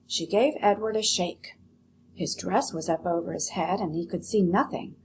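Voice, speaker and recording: A woman teacher giving a read-aloud lesson, explaining and narrating a children's story in an expressive, instructive way. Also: on the low side, moderate pace, slight background noise, low-quality recording.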